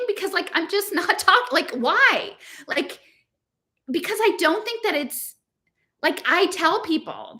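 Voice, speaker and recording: A woman's voice: high voice, pushed and bright. An American woman giving an informal, impassioned monologue to online listeners, emphatic and exasperated, rambling through rhetorical questions. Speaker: podcast host reading her blog aloud with commentary.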